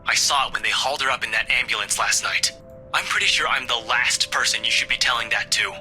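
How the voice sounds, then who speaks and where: A man's voice: mid-range voice, fast talker, pushed and bright, soft background music. An actor in a visual novel playing a stubborn, defensive young male detective, curt and indignant under strain. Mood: defensive irritation.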